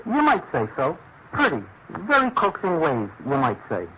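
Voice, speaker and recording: An actor playing an earnest, deferential police constable in a classic radio drama, chatty and apologetic. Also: male voice, on the high side, wildly swooping pitch, moderate pace. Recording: tinny, narrow-band old radio, faint noise.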